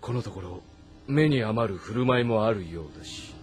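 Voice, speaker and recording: An actor playing a shrewd, commanding middle-aged warlord of ancient China in a game drama, speaking in a measured, brooding way. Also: male voice, on the low side, lively intonation, slight background noise, close to an everyday voice. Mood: quietly menacing. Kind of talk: Japanese historical drama game acting. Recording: music behind the voice. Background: background music.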